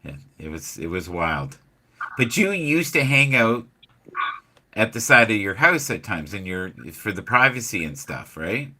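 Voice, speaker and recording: A man hosting a casual live chat show, speaking in halting starts with frequent restarts. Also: fairly low pitch, very animated, sharp tone. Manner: halting, restarts, many fillers. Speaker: host.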